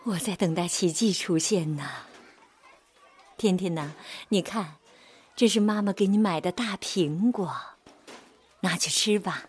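A woman's voice: on the low side, unhurried, pauses often, darkish tone, breathy edge. An actress playing a devoted, long-suffering middle-aged mother in a radio drama, with a tender, emotive, pleading delivery. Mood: gentle, earnest.

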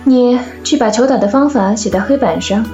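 A woman's voice: on the high side, brisk, over music, close to an everyday voice. A woman playing a didactic maths teacher in an audio drama, in roomy, dull sound. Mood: instructive. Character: instructive schoolteacher giving a maths lesson. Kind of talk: Chinese audio drama acting.

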